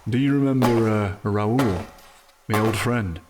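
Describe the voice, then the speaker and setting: A man playing a calm, wry, practical thief in a fantasy audio drama. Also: on the low side, a little slow, close to an everyday voice.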